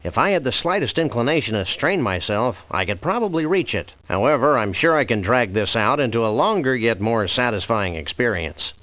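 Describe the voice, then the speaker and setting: Adventure game dog detective: mid-pitched, animated melody, easygoing wry deadpan, wordy cartoon delivery. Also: male voice, a little fast, hardly any pauses, no room sound. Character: laid-back wry cartoon dog detective, adult male. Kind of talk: comic adventure game voice acting.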